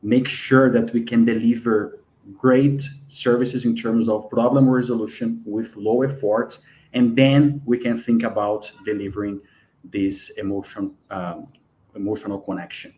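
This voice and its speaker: A man's voice: fairly low pitch, little pitch movement, measured pace, darkish tone, rough recording. A man in his forties from Brazil, speaking careful English with a Brazilian accent as a guest on a live webinar interview. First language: Portuguese. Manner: earnest, careful non-native English.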